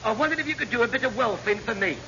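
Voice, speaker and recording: A hapless, rambling chief petty officer in vintage radio comedy. He is cheeky and wheedling, with a very high, animated voice. The sound is dull with clear background noise. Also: racing, heavily acted. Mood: aggrieved, baffled. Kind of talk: classic radio sitcom acting. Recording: muffled, noisy old radio comedy recording.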